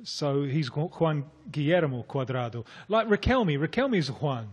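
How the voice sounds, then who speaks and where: A man's voice: on the high side, lively intonation, measured pace, slightly rough. Wry male football pundit explaining. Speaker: football expert on South America. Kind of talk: radio discussion.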